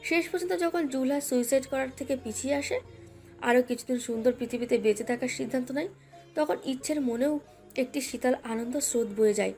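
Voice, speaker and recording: Female narrator for romantic audio fiction, high register, scripted read with a light music bed underneath. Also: fairly quick, darkish tone. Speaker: story narrator. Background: background music.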